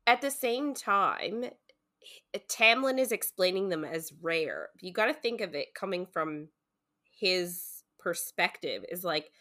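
A woman's voice: fairly low pitch, lively intonation, slow, pushed and bright. A woman co-hosting a podcast in a casual book discussion, speaking in an earnest, informal way and hedging her opinions as she goes.

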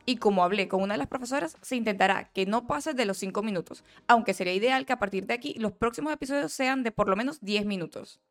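A woman's voice: on the high side, fast talker, hardly any pauses. Young woman explaining nursing roles. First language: not Catalan. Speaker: nursing student hosting a podcast. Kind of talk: read-aloud educational podcast.

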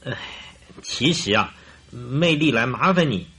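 An actor playing a stiff, uneasy father in his forties in a Mandarin radio drama, raspy, courteous and halting. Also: mid-range voice, expressive, pushed and bright, exaggerated voice. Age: 40s.

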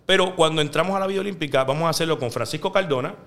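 Man, Puerto Rican Spanish accent, rapid animated storytelling, slightly high voice. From Puerto Rico. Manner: animated, fast storyteller who acts out quotes. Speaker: sports journalist guest. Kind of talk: interview storytelling.

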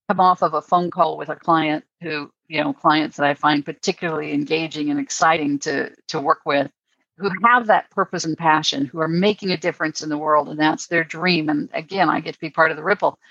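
An older woman hosting a podcast, talking in a measured, friendly way. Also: low voice, steady tone, creaky, fairly bright tone, no echo. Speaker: podcast host. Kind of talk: casual podcast chat. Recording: dull dry lo-fi audio.

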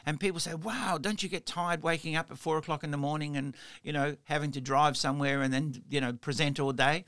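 A man's voice: on the high side, steady tone, fairly quick, a bit bright, clear, resonant tone. A middle-aged man from Italy with an Australian accent, hosting a casual interview and telling chatty stories with lots of "you know". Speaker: podcast host.

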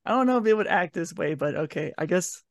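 A man's voice: high-pitched, lively intonation, fast, creaky, no room sound, studio quality. A man co-hosting a podcast, giving opinions in a casual, hesitant, conversational way. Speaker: podcast co-host. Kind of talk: casual review chat. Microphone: online video call recording.

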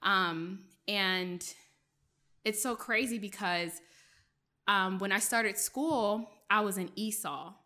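Young woman, journalist, raised in Maryland, first language French; bright tone, loose conversational delivery with fillers. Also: mid-range voice, takes it slowly. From Maryland, USA. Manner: chatty, many fillers, laughs often. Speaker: journalist, guest. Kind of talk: casual interview storytelling.

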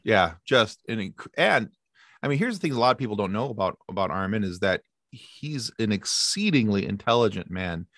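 A man's voice: fairly low pitch, animated, normal speed, a bit bright, dry recording, clean studio sound. A man chatting as a podcast guest, easygoing, sometimes searching for words. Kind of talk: casual chat.

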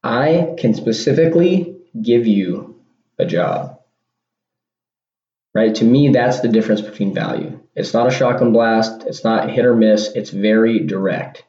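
A man hosting a casual solo podcast, rambling with restarts and punchy asides like "boom" and "bam". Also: measured pace, mellow. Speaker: podcast host. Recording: dull lo-fi sound in an echoey room.

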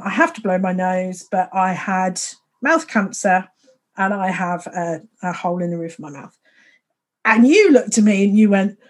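A woman hosting a casual conversation, rambling and laughing often as she talks. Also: mid-range voice. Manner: rambling, laughs often, frequent fillers and restarts. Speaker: podcast host.

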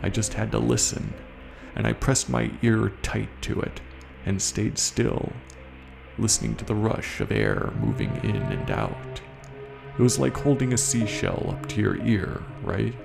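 Haunted male veteran, played by a man, horror audio drama. Lowish, very creaky, coarse and guilt-ridden, clear music underneath. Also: lively intonation, exaggerated voice. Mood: brooding, haunted. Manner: confessional, coarse, halting. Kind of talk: first-person horror audio story narration.

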